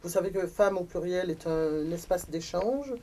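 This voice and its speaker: A woman's voice: on the low side, moderate pace, warm tone, recorded from a distance. A woman interviewing for a women's magazine, asking earnest questions while she searches for her words.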